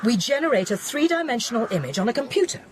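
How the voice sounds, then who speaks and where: Woman scientist as acted, lively and analytical. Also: on the high side, a crowd in the background. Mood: thoughtful. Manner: explanatory, measured, thoughtful. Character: rational, analytical scientist, patient and steadying. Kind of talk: audio drama acting.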